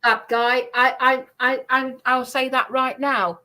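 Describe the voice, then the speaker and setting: Insistent, halting woman in casual chat. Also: sits high, little pitch movement, pushed and bright. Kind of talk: casual chat, recounting personal grievances.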